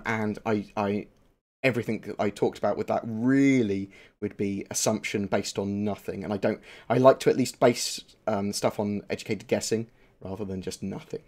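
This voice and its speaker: A man's voice: fairly low pitch, a little mellow. Rambling man streaming. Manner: rambling, swears, many fillers and restarts. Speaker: streamer answering viewer questions. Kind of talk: casual live-stream chat.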